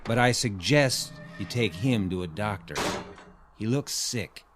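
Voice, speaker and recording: Low-voiced small-town sheriff. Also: male voice, animated, music in the background. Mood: advising. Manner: measured, folksy authority. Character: steady, plain-spoken small-town sheriff, older man. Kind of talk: radio drama acting.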